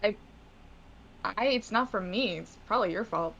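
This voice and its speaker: Woman, young adult, mid pitch, playful, teasing, casual chat, lo-fi call. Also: normal speed, gravelly, a bit bright, slight background noise. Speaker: player.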